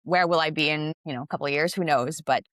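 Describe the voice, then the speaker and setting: A low-voiced woman in her thirties from the Philippines talks casually as an interview guest. Her speech is chatty and loose, full of fillers and easy laughs. The sound is dry and close. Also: fast talker, no echo. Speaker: guest, investment director.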